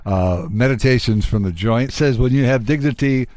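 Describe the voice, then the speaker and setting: A man's voice: mid-range voice, moderate pace, darkish tone, no echo, studio quality. A man hosting a casual radio interview, asking questions in an informal, blunt and joking way.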